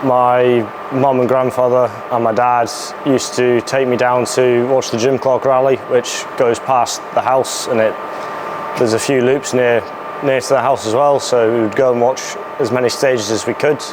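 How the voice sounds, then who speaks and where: A young man talking casually about his place on a student rally team. His voice sits at an ordinary middle pitch and his answers come out relaxed, with the odd um. There is a faint hiss behind him. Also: normal speed. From Scottish Borders. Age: young adult. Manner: easygoing, with some ums.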